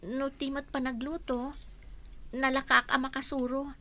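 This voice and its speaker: A woman's voice: high voice, level tone, normal speed, fairly bright tone, dry recording. A woman acting an eager, humble, easily flustered young housemaid in an Ilocano radio comedy drama.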